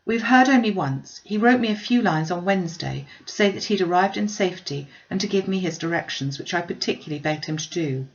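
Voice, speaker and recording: A woman voicing a gentle, anxious young elder sister in an audiobook of a classic novel, earnest and reassuring. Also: on the low side, animated, fairly quick, few pauses, muffled recording.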